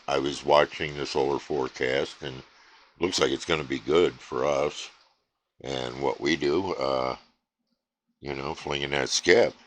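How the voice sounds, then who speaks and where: A man casually chatting about his radio hobby at his home station, relaxed and rambling with many fillers. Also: very deep voice, a little hiss or hum. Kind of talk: casual chat to camera.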